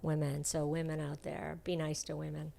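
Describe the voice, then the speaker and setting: A woman with a somewhat low voice speaks up strongly in a casual panel conversation. She gets animated and firm whenever she makes a point. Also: moderate pace, creaky. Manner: emphatic, animated when making a point. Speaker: voice actress guest.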